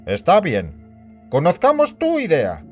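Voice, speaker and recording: A man playing a worried, scheming and bossy senior official in an audio drama, speaking with theatrical, deliberate authority. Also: a little high, wildly swooping pitch, heavily acted. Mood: conspiratorial. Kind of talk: scripted Spanish audio drama acting. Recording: music bed behind the voice.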